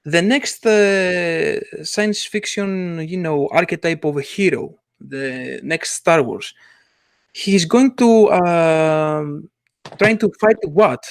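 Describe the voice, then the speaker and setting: A man with a somewhat high voice talks casually in a podcast. He speaks haltingly, pausing with fillers as he searches for words, and his voice sounds dry and close with no room echo. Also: clear, resonant tone. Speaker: podcast co-host.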